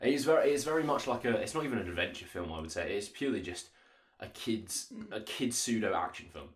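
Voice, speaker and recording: A man's voice: on the low side, animated, a little fast. A man in casual, opinionated film discussion as a podcast co-host, speaking informally. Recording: echoey room sound.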